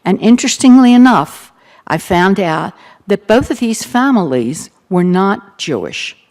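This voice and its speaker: An older woman with a Baltimore accent, the keynote speaker, recounting her family's past in an earnest, reflective talk with gentle humor. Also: mid-range voice, big pitch swings, a little slow. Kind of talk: personal testimony talk.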